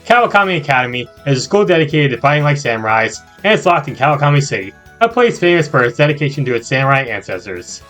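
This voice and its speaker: A man hosts a scripted, jokey game review over background music. His voice is fairly high and bright, and he leans into playful, exaggerated asides. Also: animated, fast, few pauses. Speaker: video game reviewer, channel host. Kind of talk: scripted comedic video review narration.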